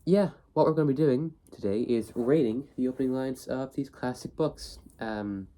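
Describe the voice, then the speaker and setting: A man's voice: medium pitch, normal speed, warm tone. A young man with a cold, sniffly, hosting a casual book video, rambling with many fillers and restarts. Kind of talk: casual talk to camera.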